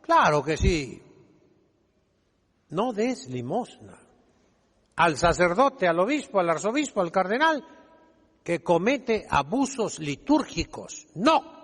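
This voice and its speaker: A man's voice: high-pitched, sing-song, takes it slowly, long pauses, fairly bright tone. A man preaching a sermon as a priest, emphatic and didactic, putting rhetorical questions to his listeners. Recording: long reverberant echo of a large hall.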